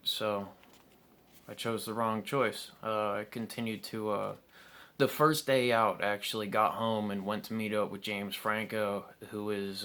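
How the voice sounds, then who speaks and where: A man's voice: lowish voice, sharp tone. A young man telling his own story to camera in an unscripted, candid way, with frequent ums and restarts. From South Carolina, United States.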